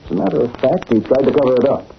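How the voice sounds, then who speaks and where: An actor playing a scheming, falsely genial politician in a 1940s style radio drama. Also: male voice, medium pitch, lively intonation, very fast, creaky. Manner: falsely genial, evasive, hesitant. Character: smooth-talking, shifty, scheming big-time politician. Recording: muffled, noisy old radio broadcast.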